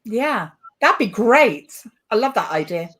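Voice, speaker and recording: A woman's voice: on the high side, very animated, unhurried, fairly bright tone. A woman with a British accent talking casually and thoughtfully as a podcast guest, a little hesitant. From born in Borneo, moved to the UK. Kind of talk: casual chat.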